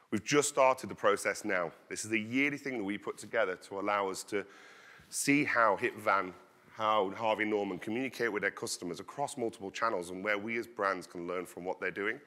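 A man in his thirties giving an energetic conference talk, projecting his voice as he walks the stage on a headset mic. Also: lowish voice. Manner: loud, projecting voice. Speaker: conference speaker. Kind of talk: conference presentation. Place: large conference hall stage. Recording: long hall reverb.

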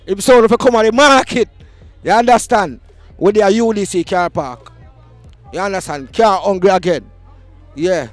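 A young Jamaican man hosting a street market vox pop outdoors with a handheld mic, hyped and shouting slogans in Patois banter. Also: high voice, moderate pace, long pauses, dry recording, soft background music.

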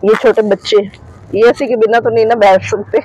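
A woman's voice: fairly high pitch, fairly even intonation, moderate pace, a little mellow, low-quality recording. Chatty woman vlogging from a car. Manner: chatty, addresses viewers directly, repeats filler phrases. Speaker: family vlogger. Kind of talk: casual vlog narration. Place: inside a car in a busy market street. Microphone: phone held at arm's length. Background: faint traffic and vehicle noise.

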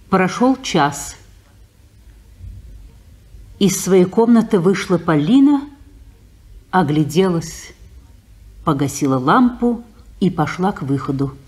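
A woman narrating stage directions for a 1950s radio play in a measured storytelling manner. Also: on the low side, sing-song, darkish tone.

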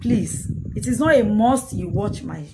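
A woman's voice: mid-range voice, lively intonation, a little slow. A middle-aged woman with a Nigerian Yoruba accent, a native Yoruba speaker, giving casual live commentary in an emphatic way, repeating her phrases. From Ijebu, southwestern Nigeria. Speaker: livestream host commenting on news. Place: small indoor room with curtain. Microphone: phone at arm's length. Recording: noisy phone recording with room ambience.

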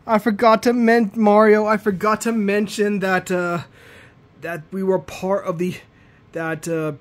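A young man voicing his plush toys in an improvised cartoon, rambling with lots of restarts, recorded on a handheld phone in a bedroom. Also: high-pitched. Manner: rambling, halting, many restarts. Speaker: puppeteer voicing all the plush characters.

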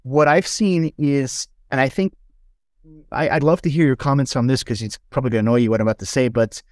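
A man's voice: medium pitch, a little fast, no echo, clean studio sound. Swiss man hosting a talk. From Switzerland. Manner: hesitant, restarts and stacks up phrases. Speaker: podcast host. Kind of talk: conversational interview.

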